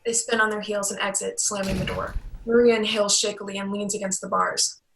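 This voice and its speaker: A woman narrates a script's stage directions. Her mid-pitched voice is gravelly and flat. There is faint noise behind her. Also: fairly quick, poor audio.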